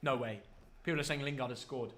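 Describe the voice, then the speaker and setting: A man's voice: medium pitch, fairly even intonation, fairly bright tone, slight background noise. A young man from North Yorkshire with a London accent, bantering and calling play on a live football watchalong stream, reading out donations and teasing his dad. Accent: London English. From North Yorkshire, England. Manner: quick banter, teasing, reads donations aloud.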